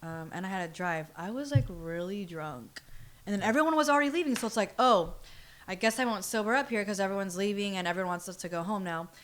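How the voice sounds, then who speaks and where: A woman's voice: medium pitch, fast talker, a bit bright. A young adult woman chatting casually on a podcast, telling personal stories in an informal, slangy and emphatic way. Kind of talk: casual chat, personal storytelling.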